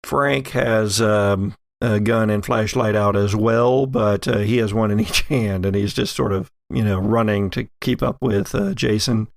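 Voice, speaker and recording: A man's voice: fairly low pitch, steady tone, a little slow, gravelly, few pauses, no room sound, clean studio sound. A man acting as game master, improvising narration for a tabletop horror game.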